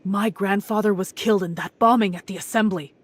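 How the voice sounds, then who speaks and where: A woman's voice: mid-range voice, steady tone, a little fast, breathy edge, lightly acted. A woman voicing a composed, loyal, protective comrade in an anime game. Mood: solemn.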